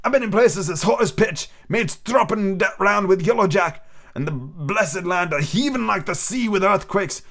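A man voicing an old, sick, drunken sea captain with gruff sailor's talk. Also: high-pitched, lively intonation, moderate pace, fairly bright tone, pitched above the performer's own voice, full character voice. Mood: blustering.

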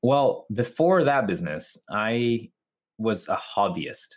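A man with a notably low voice and a North American accent. He is a guest in a casual interview, explaining his work in a conversational way. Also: very animated, takes it slowly. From Japan. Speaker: interview guest, video marketer.

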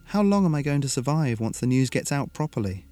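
A man's voice: mid-range voice, sing-song, brisk, mellow, faint music behind, pitched above the performer's own voice, lightly acted. A man voicing a loyal, frank teenage boy, an easygoing mate, in a story. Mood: uneasy.

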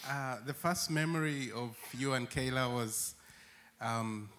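A man's voice: medium pitch, measured pace, a bit bright. A man from Uganda, a church worker telling stories as a guest and laughing often. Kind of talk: warm storytelling conversation.

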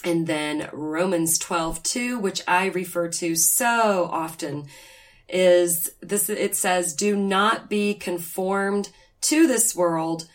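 A woman hosting a faith podcast, slightly raspy and nasal from a cold, talking earnestly and reading scripture aloud. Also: fairly low pitch, slow. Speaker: podcast host.

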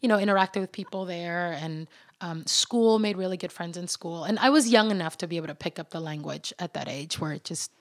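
A woman from the Netherlands, Suriname and Venezuela, a podcast guest in casual, animated chat, laughing often and restarting sentences. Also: fairly low pitch, brisk. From born in the Netherlands, raised in Suriname and Venezuela.

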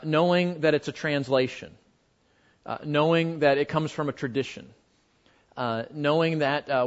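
An American man giving an informal Bible lesson to a group, talking conversationally and haltingly, with frequent asides. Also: on the high side. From United States. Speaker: Bible class teacher. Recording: dull, muffled lo-fi audio.

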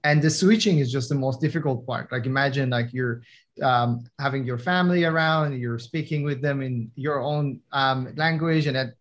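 Young guy, english not his first language, lots of ums n pauses, mid pitch, answering interview questions. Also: lo-fi sound. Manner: hesitant, many fillers and restarts. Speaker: guest, tech entrepreneur. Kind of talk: conversational interview.

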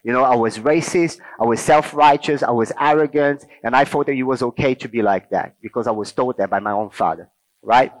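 A man's voice: medium pitch, brisk, poor audio. A middle-aged man from Brazil with a Brazilian accent, giving an informal teaching talk to a group and checking in with them often. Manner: conversational, checks in with listeners often. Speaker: speaker at a church talk.

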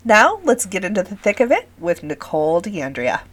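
A middle-aged woman with a raspy, scratchy voice talks casually to her listeners. She sounds a little worn, chatty and friendly as she hosts her podcast. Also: mid-range voice, very animated, moderate pace. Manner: chatty and warm-hearted, addresses listeners as friends. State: recovering from illness, scratchy voice. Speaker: podcast host.

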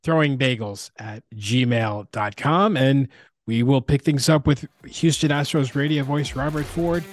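Man, casual podcast host. Medium pitch, dry close recording, conversational delivery. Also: a little slow, dry recording.

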